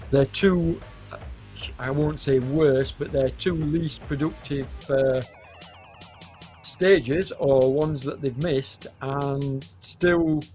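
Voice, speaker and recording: Hoarse male race commentator, slow and hesitant, faint music underneath. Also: mid-range voice, darkish tone. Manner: hesitant, many fillers, unhurried. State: hoarse with a cold, coughing.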